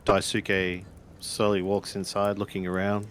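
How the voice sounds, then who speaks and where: Low-voiced man improvising game narration. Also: monotone, measured pace, clean tone. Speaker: tabletop role-playing player or storyteller.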